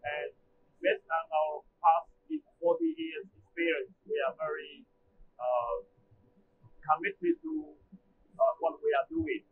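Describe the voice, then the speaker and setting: A middle-aged man speaks English, pausing often with "uh" as his pitch rises and falls with animation. His voice sits a bit high for a man. The sound is thin and phone-like, with a faint hiss behind it. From Taiwan. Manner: hesitant, frequent "uh" fillers, non-native phrasing. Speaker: machinery company manager. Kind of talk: testimonial interview answers. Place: trade show booth backdrop, indoors. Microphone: lapel mic. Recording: phone-like narrow band, faint noise.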